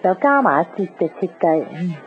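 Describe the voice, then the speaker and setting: A woman's voice: lowish voice, lively intonation, fairly quick, faint music behind, close to an everyday voice. An actress playing a chatty, bubbly, teasing young woman in a radio drama. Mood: bright, congratulating. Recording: slightly muffled radio recording.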